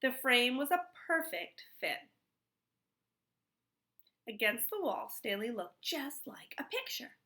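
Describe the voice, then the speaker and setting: A woman reading a children's book aloud in an expressive storytelling style. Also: a little high. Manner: pauses to put questions to young listeners. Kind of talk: expressive read-aloud narration.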